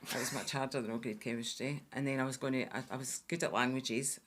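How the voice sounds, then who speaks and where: A Scottish woman from Fife, around sixty, chatting warmly about her past as a guest on a podcast, with a lot of "aye" and "uh-huh.". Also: very deep voice, steady tone, fast, a little hiss or hum. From Scotland. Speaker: guest, veteran hairdresser. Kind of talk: casual interview chat.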